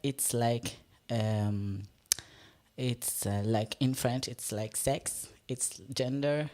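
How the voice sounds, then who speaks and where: Slow, hesitant non-native speaker. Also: medium pitch, a little mellow. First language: Farsi. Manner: hesitant, searching for words in English. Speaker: performance artist, panel guest. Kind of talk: live panel discussion. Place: stage talk before an audience, no microphones.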